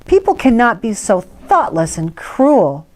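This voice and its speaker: A woman's voice: fairly high pitch, very animated, measured pace, darkish tone. Woman reading as an earnest, pleading little girl. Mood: hurt. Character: earnest little Indian girl, pleading and devoted to her mother. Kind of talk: storybook read-aloud.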